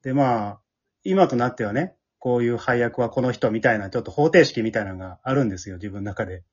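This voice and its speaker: A person with a Kansai Japanese accent chatting casually and animatedly as leader of an amateur radio drama troupe, explaining things in a husky voice. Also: medium pitch, lively intonation, fast. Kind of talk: casual after-show chat. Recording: dull lo-fi podcast audio.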